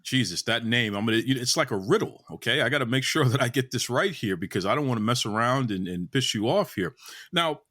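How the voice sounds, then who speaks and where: A man's voice: medium pitch, lively intonation, brisk, a bit bright, no room sound, studio quality. A man with a Boston accent hosting a wrestling interview, chatting in a jokey, rambling, self-deprecating way. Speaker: podcast host. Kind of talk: casual interview chat.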